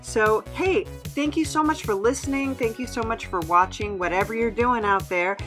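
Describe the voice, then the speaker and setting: A woman's voice: fairly high pitch, moderate pace, over music. A woman podcast host giving a casual, chatty outro to listeners in an informal way.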